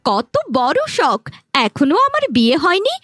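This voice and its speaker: A woman plays a spiteful young stepsister in a fairy-tale cartoon. Her voice is very high and swoops widely as she taunts and scolds, full of jealousy, with music underneath. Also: wildly swooping pitch, a little slow, fairly bright tone, dry recording, studio quality, heavily acted. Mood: jealous, mocking.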